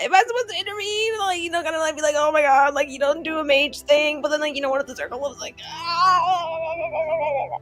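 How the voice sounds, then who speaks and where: A woman's voice: sits high, brisk, hardly any pauses, a bit bright, dry recording, soft background music. A woman chatting casually and animatedly as a player in a tabletop game.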